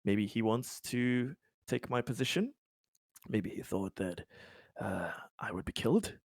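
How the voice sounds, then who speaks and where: A man improvising as a player in a tabletop roleplaying game, halting and hesitant, often stammering over repeated words. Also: lowish voice, lively intonation, no echo, studio quality.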